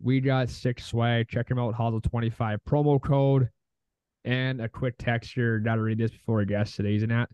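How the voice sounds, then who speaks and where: A man's voice: medium pitch, steady tone, normal speed, a little mellow, clean tone, dry recording. A man hosting a golf podcast in casual, slangy, informal chat. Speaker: podcast host. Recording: dull lo-fi dry audio.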